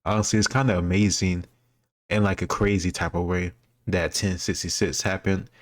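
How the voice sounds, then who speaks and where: A man's voice: low-pitched, fairly even intonation, normal speed. A man giving casual commentary on a history quiz, thinking aloud in an informal, rambling way. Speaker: host reacting to a history quiz video.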